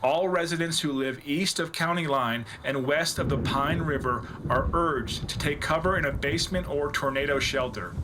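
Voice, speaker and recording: A man's voice: fairly high pitch, moderate pace, very noisy. A man delivering a breaking news report as an anchor.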